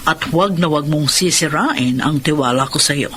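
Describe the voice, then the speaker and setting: A woman's voice: deep voice, moderate pace, a bit bright, slight background noise. A woman playing a kindly, authoritative married lady lawyer in an audio drama, reassuring and inquisitive, motherly but firm. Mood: firm, earnest. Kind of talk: Tagalog radio-style drama acting.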